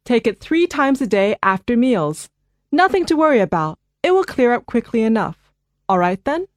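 A woman voicing a calm, reassuring family doctor in a scripted listening dialogue. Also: fairly high pitch, dry recording, clean studio sound. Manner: clear, measured, reassuring delivery.

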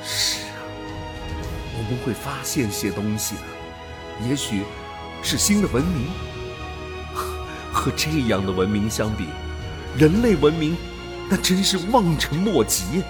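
A man's voice: medium pitch, big pitch swings, a little slow, long pauses, over music. A man playing a dutiful, awestruck space explorer in a radio drama, with a reflective, emotive delivery. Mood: awed. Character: dutiful male human explorer, transformed, torn and awestruck. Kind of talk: dramatic radio play acting.

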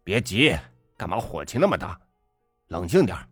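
A man reading a story aloud, voicing a brash, loud middle-aged father who bullies waiters with sarcasm. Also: low-pitched, very animated, moderate pace, slightly rough, no echo, studio quality, faint music behind, exaggerated voice. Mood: mocking.

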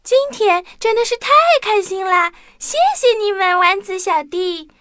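A woman playing an excitable, curious small child in a children's audio drama, exclaiming in a wide-eyed, playful way. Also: very high voice, measured pace, music in the background. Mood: happy.

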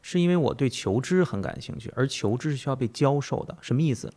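Guy hosting a thoughtful chat, fast talker, lots of vocal fry, really stresses stuff. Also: medium pitch, lively intonation, warm tone. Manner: emphatic, repeats phrases for emphasis. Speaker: podcast host and teacher. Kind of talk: reflective conversational interview.

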